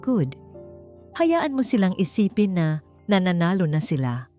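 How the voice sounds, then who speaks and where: A woman's voice: lowish voice, faint music behind. Cold, calculating female general voiced by a woman. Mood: quietly menacing. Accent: Filipino. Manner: calm, deliberate.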